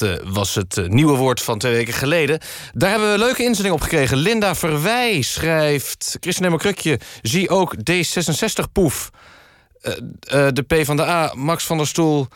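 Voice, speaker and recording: A man hosting a satirical radio magazine in a mock-serious, deadpan and halting way. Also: medium pitch, dry recording, studio quality. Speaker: radio show host. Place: radio studio. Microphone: close studio microphone.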